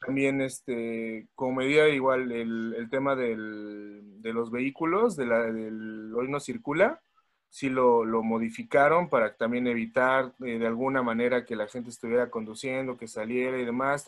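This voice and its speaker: A man with a Mexico City accent chatting casually, slangy and sweary, often repeating words as he goes. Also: medium pitch. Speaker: podcast panelist. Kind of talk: casual chat.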